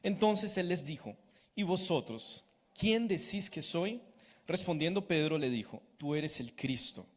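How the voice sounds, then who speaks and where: A man's voice: fairly high pitch, lively intonation, a little slow, in a large hall. A man interpreting a sermon into Spanish phrase by phrase and reading scripture aloud in church. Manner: renders each phrase right after the preacher.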